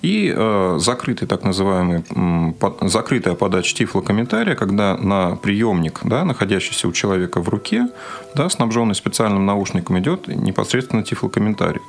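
A man co-presenting a radio interview, asking questions and explaining in a measured, methodical, conversational way. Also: on the low side, lively intonation, gravelly.

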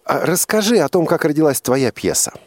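A man's voice: a little high, big pitch swings, fairly quick. A man hosting a radio show from a studio, asking probing questions in a conversational style and rephrasing as he goes. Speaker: radio host and interviewer.

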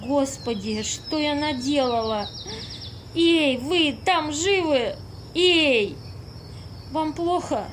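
A woman's voice: high voice, lively intonation, slow pace, slight background noise. A woman playing an exasperated, easily shocked woman in an amateur audio play. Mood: worried, probing.

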